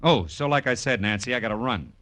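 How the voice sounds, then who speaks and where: An actor playing a tough, terse private detective who talks and narrates in clipped 1940s radio noir style. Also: male voice, medium pitch, lively intonation, brisk, close to an everyday voice. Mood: brisk. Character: tough, terse hard-boiled male private detective narrating his case. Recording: old radio recording with music behind.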